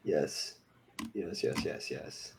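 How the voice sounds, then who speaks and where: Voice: extremely low pitch, extremely slow, vocal fry. Rambling host over a noisy call. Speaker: host of a fan comic-dub livestream. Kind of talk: casual group chat. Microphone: online voice call.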